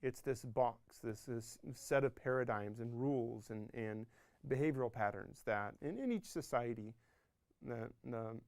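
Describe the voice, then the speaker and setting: Man in his 30s, documentary interview, smooth voice, dry close sound, reflective. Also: medium pitch, unhurried, dry recording. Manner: reflective, repeats words as he thinks.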